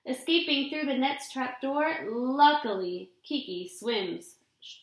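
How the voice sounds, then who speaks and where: A young adult woman reading a children's picture book aloud in an expressive, deliberate storybook delivery, recorded in a room at home. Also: high voice. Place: small indoor room at home. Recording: roomy, echoing home webcam recording.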